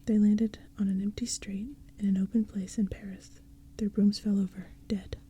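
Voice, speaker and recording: A woman narrating a storybook in a soft, hushed whisper. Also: mid-range voice, little pitch movement, normal speed, slightly rough.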